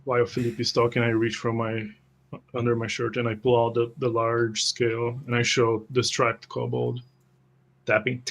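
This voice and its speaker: A male tabletop player with a medium-pitched voice and very little variation in tone. He describes what his character does and speaks its lines, hesitating as he searches for words. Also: monotone, moderate pace, gravelly, a bit bright, slight background noise, low-quality recording. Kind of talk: describing his character's actions in improvised play.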